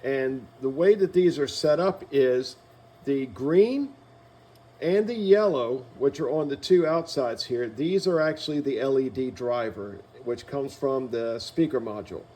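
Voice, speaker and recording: A man's voice: mid-range voice, animated, unhurried, warm tone. A man presents a piece of hardware as a company demonstrator. He speaks in a mid-range voice and takes things methodically, explaining each part in turn. The delivery is that of a practical instructional walkthrough.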